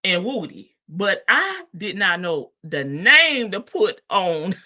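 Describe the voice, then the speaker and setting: Bright, slow-talking woman livestream host. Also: medium pitch, big pitch swings, highs cut off. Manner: chatty and playful, laughs often, greets viewers by name. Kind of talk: casual gossip commentary on a court case.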